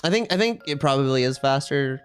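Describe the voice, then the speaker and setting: A video game streamer chatting casually with viewers on a live stream, rambling and thinking out loud. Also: mid-range voice, lively intonation, brisk, clean tone.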